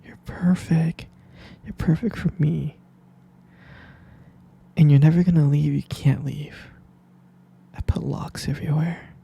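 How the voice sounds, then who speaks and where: A man voicing an obsessive, possessive young captor in an ASMR roleplay, whispering softly and calling the listener pet names. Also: a little high, fairly even intonation, unhurried, long pauses, muffled recording, exaggerated voice. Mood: obsessive, doting. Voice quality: gravelly whisper.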